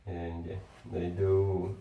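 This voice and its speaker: A man with a Venezuelan accent chats casually, his voice sitting a bit low. His English is halting, and he restarts words as he hunts for the right phrase. He sounds far from the mic in a roomy, echoing space. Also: completely flat delivery, narrow, tinny audio, a little hiss or hum. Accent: Venezuelan Spanish. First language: Spanish. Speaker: engineer. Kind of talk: casual conversation. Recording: echoey room, far from the mic.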